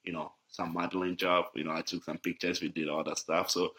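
A man's voice: deep voice, flat delivery, brisk, bright, forceful tone. A young man from Ghana talking easily in a casual podcast interview, with many fillers and laughs. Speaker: guest, jiu-jitsu athlete.

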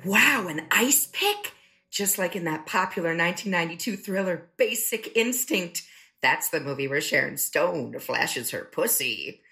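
A woman's voice: on the low side, animated, unhurried, gravelly, pushed and bright, soft background music, heavily acted. A woman playing a naive, chatty young drag queen contestant, wide-eyed and prattling, in a campy comedic audio drama.

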